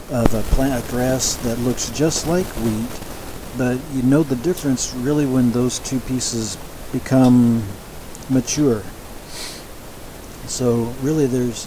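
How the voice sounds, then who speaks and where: Man teaching scripture over a steady hiss. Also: medium pitch. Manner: measured, explanatory, with frequent restarts and asides. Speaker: Bible teacher. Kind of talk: sermon-style Bible lecture.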